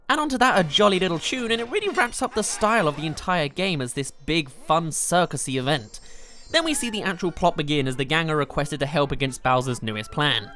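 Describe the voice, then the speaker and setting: Enthusiastic video game host, scripted review narration, animated mid-pitched voice, music in the background. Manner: enthusiastic, chatty. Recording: music behind the voice.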